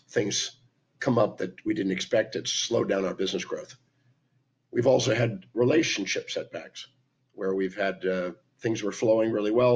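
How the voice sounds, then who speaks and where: An older man with a hoarse, raspy voice from laryngitis, giving an emphatic teaching talk to a webcam. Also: low voice. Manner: emphatic, lecturing, lists points with hand gestures. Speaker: speaker and teacher. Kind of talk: talking to camera, teaching talk. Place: indoor room at home. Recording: dull lo-fi webcam sound.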